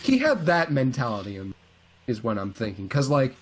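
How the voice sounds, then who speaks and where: A man's voice: medium pitch, huge pitch swings, normal speed, breathy edge. Man in informal, opinionated gamer chat. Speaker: co-host of a video game series. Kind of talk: casual chat about video games.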